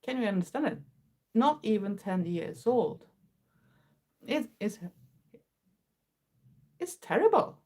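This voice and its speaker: Woman, fifties, Swedish accent, English as a second language, earnest casual chat, mid pitch, small grammar slips. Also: big pitch swings, takes it slowly, pauses often, a little mellow. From Sweden. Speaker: livestream host.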